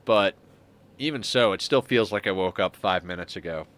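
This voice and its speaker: A man's voice: sits low, moderate pace, a bit bright. A man with a stuffy, congested nose giving casual gameplay commentary as a streamer, muttering to himself and mocking himself with frequent chuckles. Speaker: game streamer.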